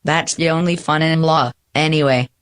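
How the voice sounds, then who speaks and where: A woman's voice: sits low, steady tone, moderate pace, smooth, lightly acted. A woman playing an earnest, idealistic male lawyer in a radio play.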